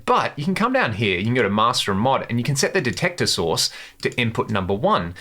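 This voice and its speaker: A man's voice: fairly low pitch, bright, forceful tone. A man hosting a guitar gear tutorial, explaining things step by step in a casual, conversational way. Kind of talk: instructional demo, casual explanation.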